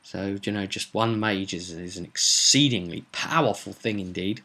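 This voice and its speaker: A man with a slightly low voice and a bright, forceful edge hosts a casual recap of a tabletop roleplay campaign. He rambles conversationally through the story and stresses key words firmly. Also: moderate pace.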